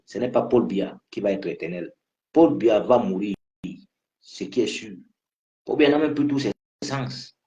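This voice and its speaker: A man's voice: lowish voice, dark tone. A man from Cameroon, giving an impassioned political address and hammering the same phrases again and again. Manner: fervent, repetitive, hammering phrases. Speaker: political activist. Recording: muffled lo-fi recording.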